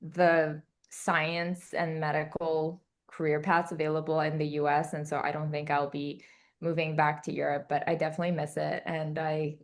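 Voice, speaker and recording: A woman's voice: low voice, level tone, normal speed. A young woman in her twenties whose first language is Albanian, chatting as a podcast guest, laughing often and using lots of "like" and "um". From Kosovo. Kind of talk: casual interview chat.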